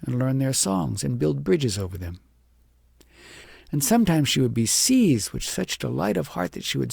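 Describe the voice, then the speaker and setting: A man with a husky, gravelly voice reading an audiobook story in a measured, expressive storytelling manner. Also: medium pitch, no echo, studio quality. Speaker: audiobook reader. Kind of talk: scripted storybook narration.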